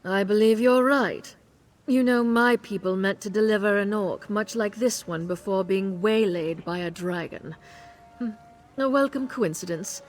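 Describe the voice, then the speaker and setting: A woman's voice: medium pitch, a little slow, natural voice. An actress playing a condescending, imperious noblewoman who organizes hunts for the elite. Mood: haughty. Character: haughty noblewoman who hosts cruel hunts. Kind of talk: video game voice acting.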